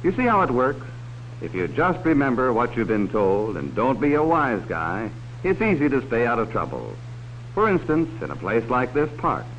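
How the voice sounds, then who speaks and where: A folksy, cautionary man narrating a 1950s children's safety film. He speaks straight to the young audience at a middling pitch. The old soundtrack is dull, with faint noise. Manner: folksy, direct address to children with leading questions. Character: cautionary male adult narrator warning children about strangers.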